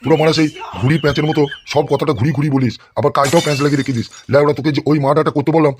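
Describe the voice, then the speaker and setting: A man's voice: fairly high pitch, fairly even intonation, racing, slight background noise, close to an everyday voice. A man voicing a crude, bullying, lecherous gangster politician in a comic cartoon, blustering and scolding. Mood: irritated, scolding. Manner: blustering, scolding, constantly swearing.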